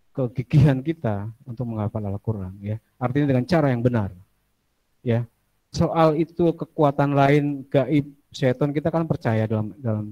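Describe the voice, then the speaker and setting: A man's voice: mid-range voice, warm tone, no echo, poor audio. A man teaching a casual classroom lecture, chatty, asking students questions and drifting into asides.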